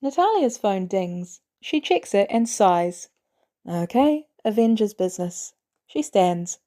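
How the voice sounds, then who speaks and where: Female story narrator, mellow voice with animated intonation, reading scripted fiction. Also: mid-range voice. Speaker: audiobook-style fiction reader.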